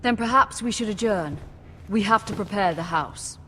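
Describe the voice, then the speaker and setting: A woman playing a dignified middle-aged noble mother who speaks in a calm, authoritative way. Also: mid-range voice, normal speed, a little hiss or hum.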